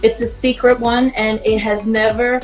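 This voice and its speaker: Young woman, upbeat, bossy, mock-formal judge, slightly high voice, video call. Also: little pitch movement, moderate pace, soft background music.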